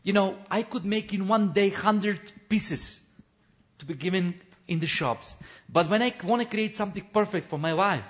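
A man who is a missionary pastor, preaching an impassioned testimony sermon in non-native English, building to shouts, with frequent restarts. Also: high-pitched, moderate pace. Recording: dull lo-fi recording.

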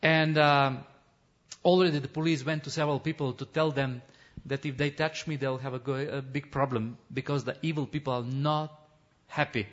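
A man who is a missionary pastor, preaching an impassioned testimony sermon in non-native English, building to shouts, with frequent restarts. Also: medium pitch, steady tone, moderate pace. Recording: dull lo-fi recording.

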